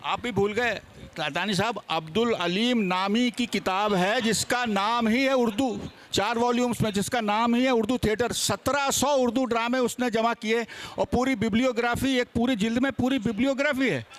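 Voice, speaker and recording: A man's voice: very high voice, normal speed, a little hiss or hum. A man speaking as a panelist in a live seminar debate, argumentative and insistent.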